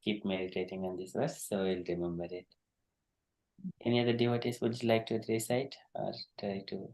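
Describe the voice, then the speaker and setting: A man with Telugu as his leads a devotional verse class in a gentle, encouraging way. His voice sits a little low and carries a clear echo of the room. He often pauses with fillers as he explains. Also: little pitch movement. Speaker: class leader.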